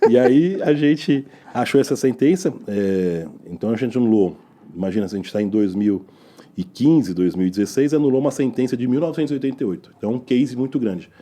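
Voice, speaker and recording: Joking mellow-voiced Brazilian man. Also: mid-range voice, expressive, a little fast. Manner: joking, teasing, explains at length. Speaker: lawyer and guest. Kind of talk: casual interview chat.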